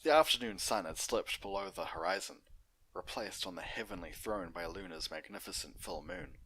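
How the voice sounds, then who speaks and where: A man's voice: low-pitched, normal speed, fairly bright tone, slightly rough, low-quality recording. A man narrating and hosting an unedited fan fiction story reading.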